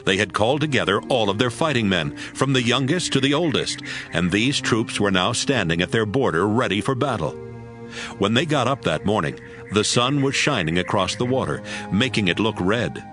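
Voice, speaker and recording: Low, expressive male Bible narrator. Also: normal speed. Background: music.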